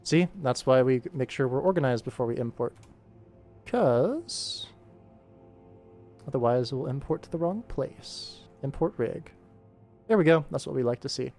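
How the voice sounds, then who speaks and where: A man's voice: medium pitch, lively intonation, a little slow, long pauses, a little mellow. Male streamer and animator, informal and rambling, thinks aloud as he works, mid-range voice with music underneath. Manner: informal, rambling to himself and viewers. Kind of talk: casual work-stream commentary, thinking aloud.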